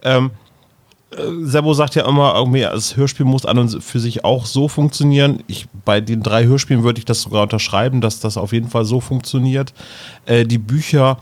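A man's voice: medium pitch, brisk. A man talking casually as a podcast co-host, discussing and commenting.

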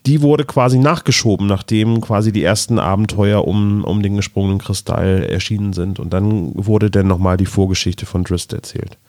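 A man with a fairly low voice explains things as a podcast co-host. He talks casually and gropes for the right word as he goes. The recording is very dry, without audible room. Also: fairly even intonation, normal speed, hardly any pauses, darkish tone, no room sound.

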